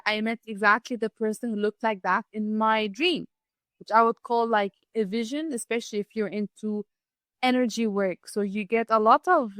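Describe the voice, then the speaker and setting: A woman's voice: on the high side, a bit bright, no echo. A woman in her thirties from Lebanon who grew up in southern Africa, telling her personal story openly in a conversational, explanatory way as a guest. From Lebanese, grew up in Zimbabwe and the Gambia. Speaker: podcast guest, holistic wellness practitioner.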